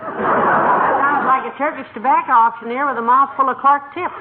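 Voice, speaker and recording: A woman's voice: sits high, normal speed, natural voice. A woman playing a droll, good-natured, folksy wife with dry quips in a 1940s radio comedy. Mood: droll, amused. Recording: tinny old radio recording, noisy, audience behind.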